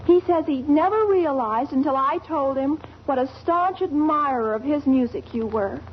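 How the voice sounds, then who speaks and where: Woman acting a teenage girl, high voice, theatrical, overwrought, 1940s radio comedy, noisy vintage recording. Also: moderate pace, highs cut off, natural voice. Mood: earnest, pleading. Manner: theatrical, overwrought, big words. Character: melodramatic, gushing, lovable teenage girl.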